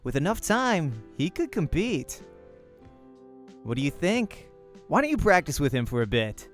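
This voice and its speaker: A man's voice: a little high, big pitch swings, a little slow, faint music behind. Man doing an easygoing, teasing young pro gamer guy. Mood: encouraging. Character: friendly, easygoing male pro gamer, encouraging rival.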